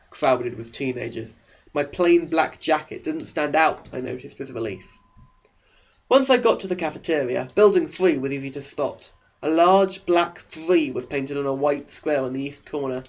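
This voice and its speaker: A man's voice: medium pitch, dull audio. A man narrating a mock audiobook with a sarcastic, exaggerated delivery.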